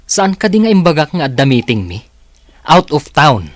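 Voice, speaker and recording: A man's voice: fairly high pitch, very animated, normal speed, no room sound. A man playing a reluctant, evasive young husband in an Ilocano radio drama, with a Filipino accent.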